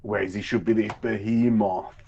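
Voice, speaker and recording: A man co-hosting a casual hobby chat, relaxed and hesitant, often repeating words. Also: lowish voice, moderate pace, highs cut off. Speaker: co-host.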